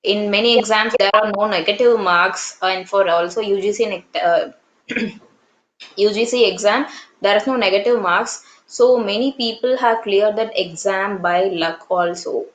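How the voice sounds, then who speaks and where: A woman in her thirties from Tamil Nadu speaks English with a Tamil accent, her first language being Tamil. She talks in an earnest, reflective way, pausing on fillers and restarting her sentences. Her voice sits in a middle range and echoes around the room. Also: medium pitch. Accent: Tamil Indian English. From Tamil Nadu, India. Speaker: English trainer. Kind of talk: casual conversation practice. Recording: roomy echo.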